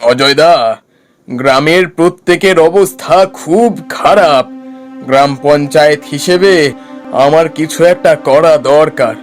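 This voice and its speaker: A man's voice: on the high side, slow pace, soft background music. A man voicing a concerned village husband in a cartoon story, speaking earnestly. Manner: earnest, reasoning.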